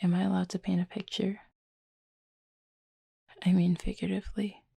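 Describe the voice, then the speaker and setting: Casting: actress for a reflective, guarded female cupid in intimate roleplay audio. Mellow, very breathy and husky, gently formal, close and dry. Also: mid-range voice, little pitch movement, no echo. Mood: thoughtful, tender. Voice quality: soft, breathy, husky with creak. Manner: thoughtful, measured, gently formal.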